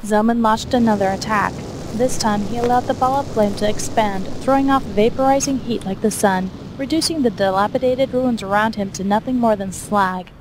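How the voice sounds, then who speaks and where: A woman's voice: on the high side, moderate pace, hardly any pauses, noisy background. A woman reading scripted fiction aloud as the narrator of an action fantasy story.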